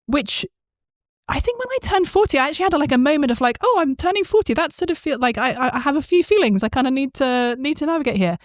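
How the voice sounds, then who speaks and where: A woman's voice: high voice, animated, fast talker, dry recording, clean studio sound. A woman, hosting an interview in casual chat, telling stories with many fillers and frequent laughs. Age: 40s. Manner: chatty storyteller, laughs often, many fillers. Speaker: podcast host.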